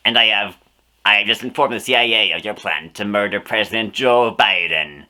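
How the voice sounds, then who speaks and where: Casting: scheming cartoon villain, low but piercingly forceful, theatrical and gloating. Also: male voice, measured pace.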